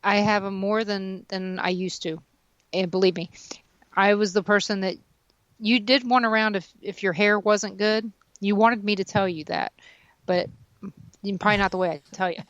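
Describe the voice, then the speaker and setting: A woman's voice: mid-range voice, fairly even intonation, normal speed, fairly bright tone, dry recording, muffled recording. A woman in her mid-fifties, talkative and self-deprecating, chatting casually as a radio co-host. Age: 50s. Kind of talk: casual chat.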